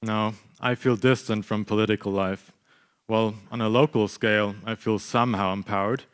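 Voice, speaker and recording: Detached, sceptical young Lebanese man, read by a man for a staged play, smooth and fairly low. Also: steady tone, moderate pace, close to an everyday voice. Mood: disillusioned. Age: young adult. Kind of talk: staged reading of a short play.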